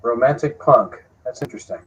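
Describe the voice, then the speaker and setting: A man with a mid-pitched voice interviews casually as a co-host. The sound is dull and lo-fi, like a modest livestream connection. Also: a little fast.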